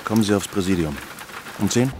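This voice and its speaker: An actor playing a brisk, matter-of-fact male homicide detective with a dry, businesslike manner in a radio play. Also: fairly low pitch, lightly acted. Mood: brisk, weary. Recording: noisy scene with rain.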